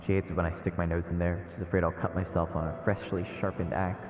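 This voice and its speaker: Echoey, low-voiced man reading aloud. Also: level tone, fast, slight background noise. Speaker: streamer reading a visual novel aloud. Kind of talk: narration read aloud with casual asides. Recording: echoey, phone-like narrow sound, long reverb. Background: game music.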